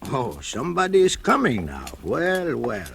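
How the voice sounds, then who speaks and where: An older man, acting a gentle fatherly shoemaker and narrating in an old radio drama, with soft chuckles. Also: medium pitch, huge pitch swings, measured pace, creaky, slight background noise.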